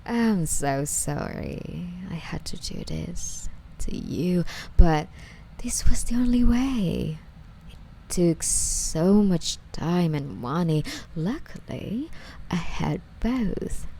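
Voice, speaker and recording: A woman playing an obsessive, sweetly menacing female stalker in an ASMR roleplay, coy and breathy, often slipping toward a whisper as she coddles her captive. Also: on the low side, expressive, slow pace, mellow, a little hiss or hum, heavily acted. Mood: mock-sweet menace.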